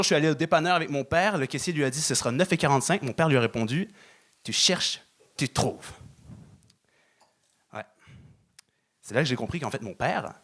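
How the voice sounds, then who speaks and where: A young man doing stand-up on a club stage, deadpan, stalling with fillers. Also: a little high. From Moroccan family. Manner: deadpan, halting with many fillers. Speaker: stand-up comedian. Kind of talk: stand-up set.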